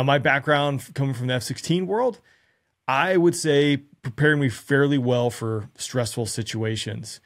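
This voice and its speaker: An earnest man in his thirties talks straight to camera through a studio microphone in a small room. He coaches pilots in a conversational, practical way and keeps posing rhetorical questions. His voice sits at an ordinary middle pitch. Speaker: former fighter pilot giving flying advice. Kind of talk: direct-to-camera instructional talk. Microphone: studio mic on stand in front of him.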